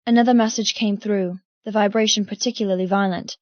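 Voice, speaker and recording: A woman's voice: mid-range voice, steady tone, a little fast, a little mellow, no room sound, highs cut off. Woman narrating an audiobook, close and dry. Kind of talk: first-person dramatic scripted narration.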